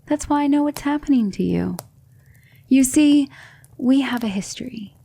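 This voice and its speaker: A woman playing a gentle, patient demon mentor in an ASMR roleplay, speaking softly and often in a whisper, soothing and reassuring. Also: sits high, expressive, normal speed, creaky, slightly rough, heavily acted.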